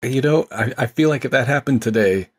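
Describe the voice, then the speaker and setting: A man's voice: medium pitch, brisk, slightly rough. A man in casual film discussion as a podcast guest, talking in an enthusiastic, conversational way.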